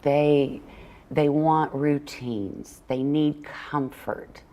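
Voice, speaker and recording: An older woman speaks in a strikingly low register. She talks conversationally as a guest being interviewed, explaining things plainly and in anecdotes. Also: fairly even intonation, extremely slow, mellow. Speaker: interview guest, children's book author and illustrator. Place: TV morning show interview.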